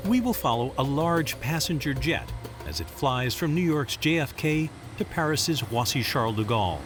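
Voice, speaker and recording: A man's voice: mid-range voice, animated, moderate pace, music in the background. A man reading scripted documentary narration as the narrator.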